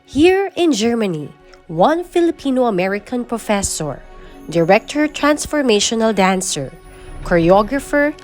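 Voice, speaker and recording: Woman, scripted host narration, lively intonation, faint music bed. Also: medium pitch. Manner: lively, formal read-aloud delivery.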